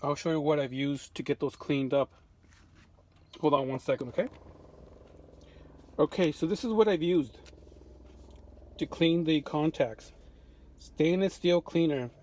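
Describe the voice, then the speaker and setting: A man giving an unscripted show-and-tell of his restoration work in a home workshop, relaxed and full of fillers and restarts. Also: on the high side, a little slow, pauses often. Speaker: vintage radio restorer.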